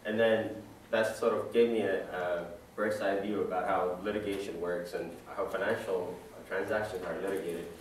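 Deep-voiced man lecturing in an echoey room. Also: steady tone, normal speed, distant mic, slight background noise. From Ethiopia. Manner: conversational, many fillers and restarts. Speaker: guest lecturer. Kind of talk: classroom talk. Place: large dim lecture room. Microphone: far camera.

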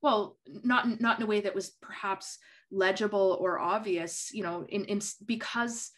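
A woman's voice: mid-range voice, steady tone, unhurried. A young woman moderating a Q&A over a video call from a home office, reading questions aloud in a friendly way. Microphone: video call webcam.

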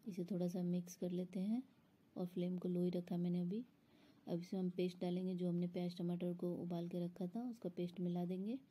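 A woman's voice: lowish voice, little pitch movement, fairly quick, dark tone, slightly rough. A woman explaining a recipe step by step in an instructional voice-over, as a home cook. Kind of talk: step-by-step cooking tutorial voice-over.

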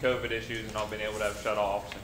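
A middle-aged man giving a plain, halting department report at a city council meeting, with many pauses. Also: lowish voice, completely flat delivery, background noise. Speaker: council member. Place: council chamber at a dais. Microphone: desk mic. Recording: roomy council chamber.

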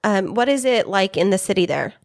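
A woman's voice: mid-range voice, animated, fairly quick, dry recording, studio quality. An American woman co-hosting a podcast, asking questions in a casual, chatty way. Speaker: podcast co-host, interviewer. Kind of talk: casual chat.

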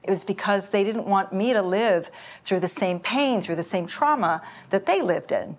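A middle-aged woman from Palestine speaks as a lawyer on a studio panel. She talks earnestly and firmly, drawing on her own family story. Her voice has an average woman's pitch and is picked up close on a lapel mic. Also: moderate pace. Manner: earnest, personal, firm. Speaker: human rights lawyer, panel guest. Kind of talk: panel discussion. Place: indoor studio set.

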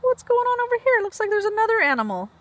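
A woman preschool teacher reading a picture book aloud to young children in an animated, expressive way, with chatty asides. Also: very high-pitched, fast, a little hiss or hum.

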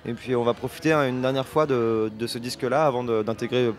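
A man's voice: mid-range voice, fast talker, a little hiss or hum. Hesitant man answering radio questions. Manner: hesitant, many restarts and fillers. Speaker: singer and lyricist of a band. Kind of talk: relaxed radio interview answers. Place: festival radio booth in front of a sponsor backdrop. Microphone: handheld radio mic held by the host.

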